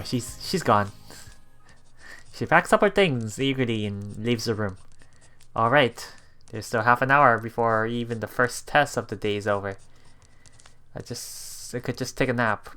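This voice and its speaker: A man doing a let's play, reading story narration aloud with casual commentary, halting and chuckling as he goes, with game music behind him. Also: medium pitch, bright, forceful tone. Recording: voice over game background music.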